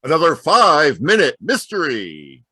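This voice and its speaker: Raspy guy announcer for old radio mystery, bright forceful tone, swoopy dramatic reading. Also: fairly high pitch, huge pitch swings, moderate pace.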